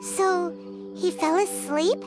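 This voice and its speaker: A woman voicing a tiny, childlike, excitable fairy companion in a video game, squeaky and exclamatory. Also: slow pace, over loud music. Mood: curious, concerned. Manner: speaks of self in third person, exclamatory.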